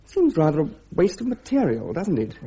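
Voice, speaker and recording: Breezy posh young officer, very husky. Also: male voice, fairly high pitch, huge pitch swings, normal speed, mellow, full character voice. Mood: gossipy, breezy. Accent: upper-class British. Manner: chatty, breezy, posh slang. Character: eager, scatterbrained, gossipy young British army officer. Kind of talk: British radio drama acting. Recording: dull, lo-fi radio drama recording.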